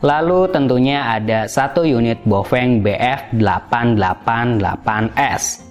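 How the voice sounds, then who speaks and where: A man's voice: medium pitch, unhurried. A man hosting a gadget review, narrating in a casual, explanatory style. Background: background music.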